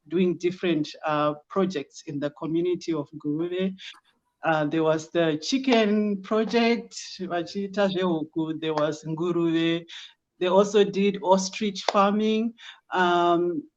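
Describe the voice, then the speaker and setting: Zimbabwean woman guest, talks slow with lots of ums, kinda dull lo-fi sound. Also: fairly low pitch, darkish tone. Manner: slow, measured, with many ums and uhs. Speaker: guest, project founder. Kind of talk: casual interview answers.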